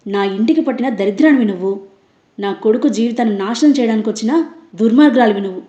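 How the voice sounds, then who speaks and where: A woman playing a shrill scolding mother-in-law. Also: quick pace, dark tone, roomy sound, lo-fi sound, natural voice. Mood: scornful, furious. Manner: shrill, accusing, insulting. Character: harsh scolding middle-aged mother-in-law.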